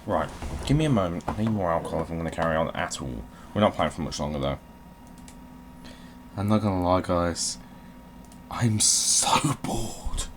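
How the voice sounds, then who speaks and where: A man's voice: sits low, expressive, moderate pace, long pauses. A man giving casual video game commentary, swearing and grumbling jokily as he plays. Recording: clearly noisy background.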